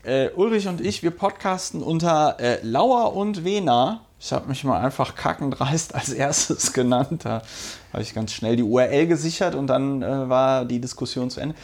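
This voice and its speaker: A man hosting a podcast who has a cold, talking casually with many fillers and pauses, laughing easily. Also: mid-range voice, darkish tone. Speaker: podcast host. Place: indoor room with a guest.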